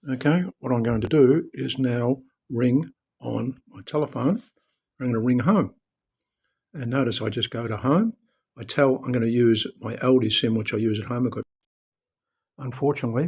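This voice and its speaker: Gravelly, husky Australian man explaining. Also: medium pitch, dull audio. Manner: halting, explanatory, often repeating himself. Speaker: presenter of a phone how-to video. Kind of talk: informal unscripted tutorial talk.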